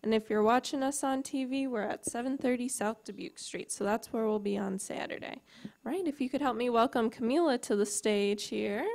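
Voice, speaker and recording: A woman's voice: a little high, a little fast, gravelly, a little mellow. A woman hosting a coffee house open mic, announcing the venue and welcoming the next performer to the stage. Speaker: event host. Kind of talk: announcements and introductions.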